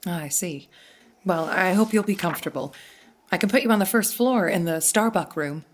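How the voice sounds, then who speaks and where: A woman acting as a widowed guest-house keeper in a radio drama. She speaks courteously and a little hesitantly, in a slightly low female register. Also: normal speed, lightly acted. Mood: polite, accommodating. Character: polite, chatty widowed female innkeeper. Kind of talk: audio-drama dialogue.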